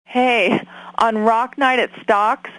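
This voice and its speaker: An eager fan phoning a game's rock station. Her voice is bubbly and a touch high, recorded dry. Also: fairly even intonation, takes it slowly, a bit bright, no echo, low-quality recording. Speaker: caller.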